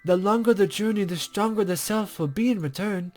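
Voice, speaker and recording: A man voicing a cryptic, serene female guide to the afterlife from a visual novel, measured, formal and gently condescending. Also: high-pitched, natural voice. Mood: solemn, knowing. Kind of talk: character reading from a visual novel.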